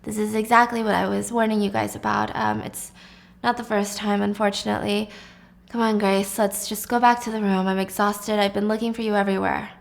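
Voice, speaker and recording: A woman storyteller puts on a manipulative husband who feigns concern. He speaks softly and wearily, placating with a condescending patience as if managing someone unreasonable. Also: medium pitch, fairly quick, creaky, close to an everyday voice. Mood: weary. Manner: soft, placating, sighing, condescending.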